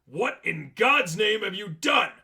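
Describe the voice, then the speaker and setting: A man's voice: high-pitched, very animated, takes it slowly, bright, forceful tone, far from the mic, pitched above the performer's own voice, full character voice. A man voicing a furious, blustering restaurant manager in a dramatized story reading, sputtering with indignation. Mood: outraged. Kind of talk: dramatized fan fiction reading.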